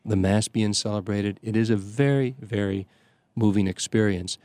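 An older man, a priest from the Chicago area, telling stories in casual conversation, halting often with fillers and restarts. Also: fairly low pitch, steady tone, darkish tone, no echo. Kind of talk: conversational storytelling.

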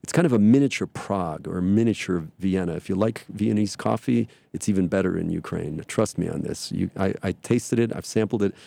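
A middle-aged man speaking for his church to listeners, rambling and filling pauses with many ums and uhs. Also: deep voice, creaky, dark tone, no echo. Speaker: church representative. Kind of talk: speaking to listeners, promotional talk.

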